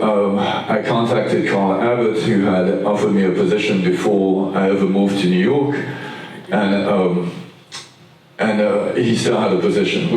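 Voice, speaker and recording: Slow-spoken man with a Belgian French accent. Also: fairly low pitch, darkish tone. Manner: slow, unhurried, many pauses and ums. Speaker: architect on a panel. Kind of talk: panel talk. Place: large event room. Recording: echoey room, distant mic.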